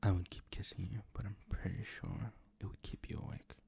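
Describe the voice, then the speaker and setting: A man playing a doting, gently dominant boyfriend in an intimate ASMR roleplay, speaking in a soft, coaxing, reassuring way with a breathy, gravelly whisper. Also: on the low side, little pitch movement, brisk, full character voice. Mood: tender. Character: doting, gently dominant, teasing boyfriend comforting his partner.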